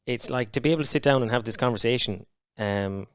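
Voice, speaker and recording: A man's voice: medium pitch, smooth, dry recording, clean studio sound. A man speaking candidly as a podcast guest, swearing often and restarting his sentences. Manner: frank and heavy-swearing, halting restarts. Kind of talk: candid conversation.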